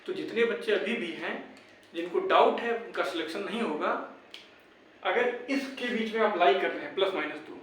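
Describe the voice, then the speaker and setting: A man's voice: sits high, moderate pace, fairly bright tone, a little hiss or hum. A young man addresses his viewers like brothers. He is insistent and repeats his points as he advises and rallies them. The sound is heavily echoing and distant from the microphone. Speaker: YouTube exam-update presenter. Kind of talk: informal advice and rallying talk to viewers. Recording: very echoey room, distant microphone.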